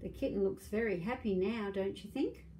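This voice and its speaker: A woman's voice: mid-range voice, normal speed, dark tone, slight background noise, poor audio. A middle-aged woman reading aloud to young children as a storytime host, in a simple, questioning, child-directed manner. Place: small domestic room indoors.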